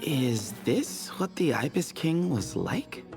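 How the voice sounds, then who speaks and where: Breezy young man, creaky edge. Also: a little high, very animated, takes it slowly, over music, heavily acted. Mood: casual. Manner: casual. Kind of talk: video game character acting.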